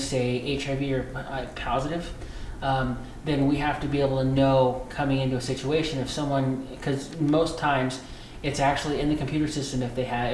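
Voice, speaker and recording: American male interviewee, mid-pitched, explanatory, halting conversational delivery; roomy sound. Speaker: police dispatcher being interviewed. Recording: echoey room with faint background noise.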